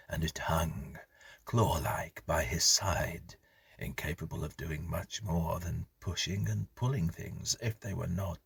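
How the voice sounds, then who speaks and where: Very husky, low-pitched male horror narrator. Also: dry recording. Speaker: narrator, story reader. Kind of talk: scripted horror story narration.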